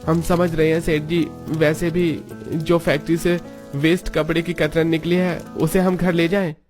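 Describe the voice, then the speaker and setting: Poor cartoon labourer, played by a man, fairly high voice, humble but proud, light music bed. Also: little pitch movement, moderate pace, darkish tone, close to an everyday voice. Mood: respectful. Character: poor male factory worker, apologetic yet proud. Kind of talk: cartoon story acting.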